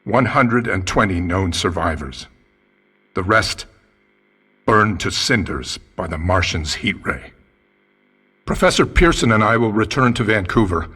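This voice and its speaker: A man playing a grave radio newsman, delivering a formal, measured bulletin in a radio drama. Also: on the low side, lively intonation, bright, forceful tone, breathy edge, exaggerated voice. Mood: grave, solemn. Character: grave male radio newsman announcing disaster.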